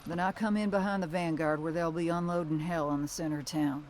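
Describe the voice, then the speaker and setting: A woman's voice: sits low, steady tone, brisk, darkish tone, a little hiss or hum, natural voice. An actress playing a tough, resolute bounty hunter who leads her team in terse, plain-spoken game dialogue. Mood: resolute, businesslike. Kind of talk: video game dramatic dialogue.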